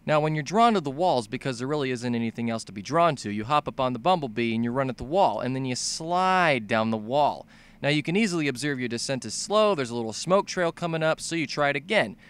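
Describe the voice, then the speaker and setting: Animated, bright-voiced man doing comedic game commentary. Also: medium pitch, fast talker, clear, resonant tone. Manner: profane, exaggerated, bouncing between mock dumb and excited shouting. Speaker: video game commentator, fan-dub voice-over.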